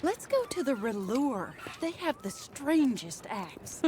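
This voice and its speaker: A woman's voice: sits high, very animated, slow pace, darkish tone, a little hiss or hum, full character voice. An actress playing a refined, earnest and wry American woman of the frontier era in a game cutscene. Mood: playful. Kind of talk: period game cutscene acting.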